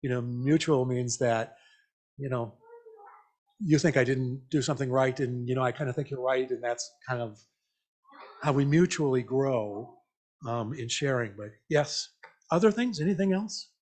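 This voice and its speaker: A man giving an informal, interactive church message in a conversational, reflective, halting way, often checking in with questions. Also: medium pitch, darkish tone. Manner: invites listeners with questions. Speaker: lay church speaker.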